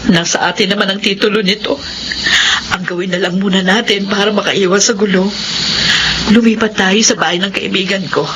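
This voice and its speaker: A woman plays a gentle, prayerful Filipina mother in a radio drama. Her medium-pitched voice is soothing and earnest, recorded with noticeable noise. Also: fairly even intonation, fairly quick, close to an everyday voice, low-quality recording. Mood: resolved.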